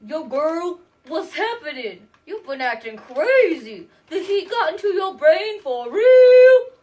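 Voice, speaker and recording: A man's voice: extremely high pitch, sing-song, slow, darkish tone, voice pushed up, full character voice. A man voicing a sassy, incredulous puppet husband in exaggerated, drawling street slang. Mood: exasperated, mocking. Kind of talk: comic puppet-show acting. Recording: distant microphone, noisy room.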